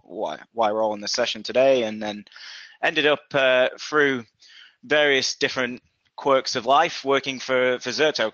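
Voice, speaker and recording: A man's voice: medium pitch, bright, forceful tone, dry recording, highs cut off. A man in his thirties with a Yorkshire accent, presenting casually as a guest on a web call, chatty and self-deprecating. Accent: Yorkshire English. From Yorkshire, England. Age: 30s.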